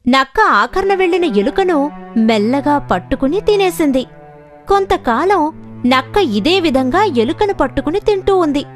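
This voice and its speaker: A woman narrates children's fables in a scripted storytelling style. Her voice is high and very animated, with music behind it. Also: a little slow.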